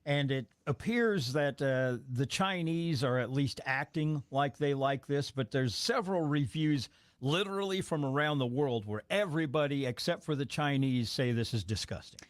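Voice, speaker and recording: A man's voice: mid-range voice, moderate pace, dry recording. A man hosting a radio morning show, chatting casually and self-deprecatingly while tasting food in the studio. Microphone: studio microphone.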